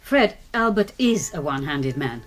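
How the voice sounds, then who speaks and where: An animated, questioning lady. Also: low-pitched, moderate pace. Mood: insistent, doubtful. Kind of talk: British radio drama acting.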